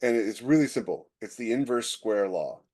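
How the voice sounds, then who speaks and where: A man's voice: fairly low pitch, animated, moderate pace, a little mellow. A man co-hosting a podcast, explaining things conversationally as a science fiction writer, with sentences that often trail off and restart. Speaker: podcast co-host and military sci-fi writer. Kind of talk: casual podcast discussion.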